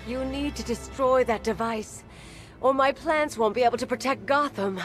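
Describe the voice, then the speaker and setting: A woman's voice: fairly high pitch, moderate pace, faint music behind, natural voice. A woman playing an earnest, grave supervillain who commands plants in a video game. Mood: grave, urgent. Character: solemn, earnest female plant-controlling supervillain, adult. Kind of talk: video game cutscene acting.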